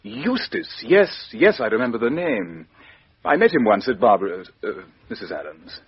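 A dry, muffled vintage radio recording of a British politician. He sounds very hoarse-edged and speaks with pompous, careful stiffness. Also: medium pitch, very animated, moderate pace, no echo. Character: pompous, careful male English politician, self-satisfied. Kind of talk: 1950s radio mystery acting.

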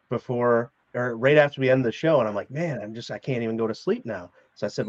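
A man co-hosting a sports talk show, talking in an energetic, hyped-up way. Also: medium pitch, no room sound. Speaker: co-host. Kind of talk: casual chat. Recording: dull lo-fi, dry.

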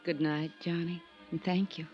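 An actress playing a lonely, tender woman in a soft, intimate 1950s radio drama style. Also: fairly low pitch, level tone, moderate pace, close to an everyday voice. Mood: tender, wistful. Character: lonely, tender woman saying goodnight to a suitor. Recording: dull 1950s radio recording, faint music.

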